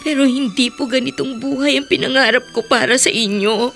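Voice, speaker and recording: Ailing young daughter, very high voice, tearful, self-sacrificing, Filipino accent, radio drama. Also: female voice, fairly even intonation, a little fast, a bit bright, faint music behind. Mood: ashamed, plaintive. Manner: plaintive, tearful, polite to her elder.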